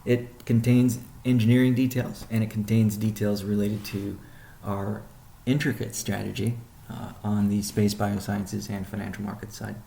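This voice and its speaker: A man with a fairly low voice that has plenty of gravelly fry speaks in an echoey room. He sounds like an executive running a routine conference call, setting out updates in a measured, explanatory way and often pausing partway through a sentence. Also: little pitch movement, warm tone, a little hiss or hum. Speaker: company CEO hosting a weekly update call. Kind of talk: informal business conference call briefing. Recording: roomy, echoing sound.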